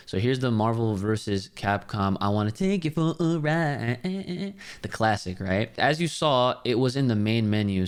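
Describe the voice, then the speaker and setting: A man hosting a casual step-by-step software tutorial, explaining things in a conversational way. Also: on the low side, clear, resonant tone. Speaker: tutorial host.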